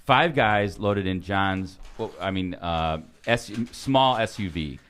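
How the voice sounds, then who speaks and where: A man's voice: sits low, expressive, slow, fairly bright tone, smooth. A man co-hosting a podcast in a studio, tossing in dry quips and friendly asides. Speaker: podcast co-host. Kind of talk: casual studio banter.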